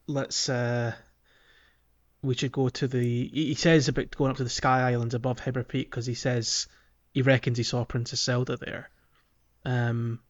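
A man's voice: mid-range voice, flat delivery, normal speed. Man chatting casually, slightly dull recording. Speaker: podcast host. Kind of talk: casual video game discussion.

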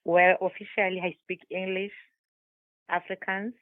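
A woman's voice: fairly low pitch, level tone, moderate pace, no echo. South African woman on a phone line. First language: Tswana. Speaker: guest author. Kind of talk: remote interview, telling her life story. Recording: dry, tinny phone line.

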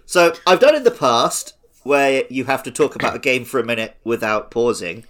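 Man, game show host, casual, very animated intonation, mid pitch, mock-strict teasing. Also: moderate pace. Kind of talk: casual comic quizmastering.

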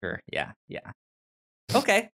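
A man hosting a casual podcast, talking in a halting way and often repeating words as he starts sentences. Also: a bit bright. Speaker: podcast host steering the segments. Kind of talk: casual chat.

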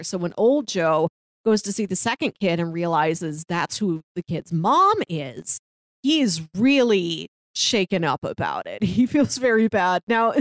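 A woman's voice: medium pitch, no echo. A woman co-hosting a casual film discussion, explaining plot points in an earnest, conversational way. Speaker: podcast co-host.

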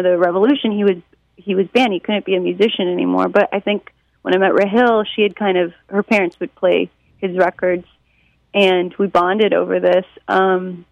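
American woman musician in an interview, lots of vocal fry, chatty storyteller, kinda dull dry sound. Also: fairly low pitch, no echo. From Detroit, United States. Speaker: musician and songwriter being interviewed. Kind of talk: casual chat, interview answers.

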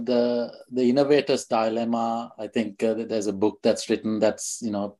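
A man's voice: lowish voice, darkish tone. A man from Sri Lanka, a guest on a podcast, answering questions with many restarts and fillers. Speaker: guest, fintech executive.

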